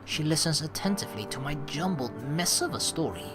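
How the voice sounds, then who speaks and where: A woman hosting a let's play, reading a visual novel aloud as expressive narration. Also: sits low, fairly quick. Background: game music.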